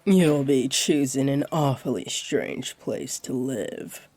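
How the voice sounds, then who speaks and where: A woman playing a flirty, teasing, laid-back jaguar beastling in a roleplay audio, with a deep, breathy, husky voice and a playful, soothing delivery. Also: slow, mellow, a little hiss or hum. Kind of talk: roleplay audio acting, addressed to one listener.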